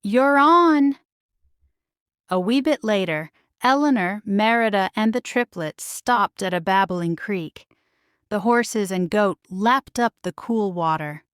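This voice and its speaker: A woman's voice: mid-range voice, measured pace, clear, resonant tone, no room sound, studio quality. A woman narrating a children's bedtime story from a script as a storybook reader.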